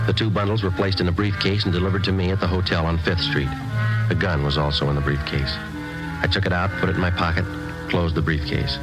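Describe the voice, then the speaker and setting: A man playing a matter-of-fact undercover police detective in a 1950s radio crime drama, clipped and deadpan. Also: low-pitched, close to an everyday voice, low-quality recording. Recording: vintage radio recording.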